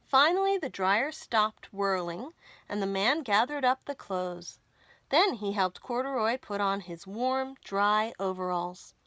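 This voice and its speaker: Bright, animated woman reading a storybook. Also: mid-range voice, measured pace, no echo. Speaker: children's storytime reader. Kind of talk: expressive storybook narration.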